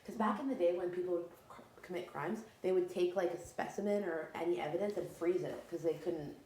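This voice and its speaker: A woman in casual group chat, telling stories in a chatty, animated way. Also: lowish voice, fairly quick. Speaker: reality show contestant. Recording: echoey room with faint noise.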